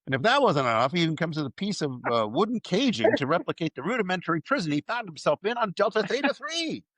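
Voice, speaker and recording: Lively, playful man hosting a fan podcast. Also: high-pitched, fast, no echo. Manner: playful, enthusiastic, wry asides. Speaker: podcast host presenting a news segment. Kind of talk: lively fan news presentation, partly scripted.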